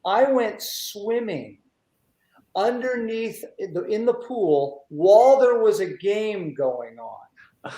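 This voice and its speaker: A man from the United States hosting a casual interview, telling stories in an animated, rambling way. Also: high voice. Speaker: talk show host. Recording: roomy echo.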